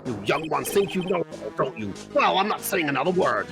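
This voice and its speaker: A man voicing a crotchety, lecherous old man with creaky, melodramatic outbursts in a fan dub of a game. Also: a little high, sing-song, moderate pace, over music. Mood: indignant.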